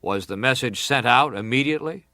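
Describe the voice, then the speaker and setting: An actor playing an American senator who questions a witness formally, measured and insistent, in a dramatized hearing. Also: male voice, medium pitch, bright, forceful tone, dry recording, clean studio sound, close to an everyday voice.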